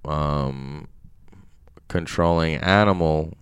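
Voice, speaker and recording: A man co-hosting a podcast, chatting casually and haltingly as he gropes for words. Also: rumbling low, clean tone, no echo, studio quality. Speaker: podcast co-host. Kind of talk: casual chat.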